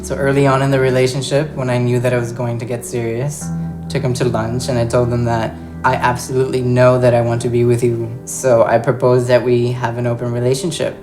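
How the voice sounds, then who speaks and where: A man's voice: medium pitch, completely flat delivery, a little fast. A young man from South Florida speaking reflectively and earnestly in a documentary interview. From South Florida, United States. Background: soft music score.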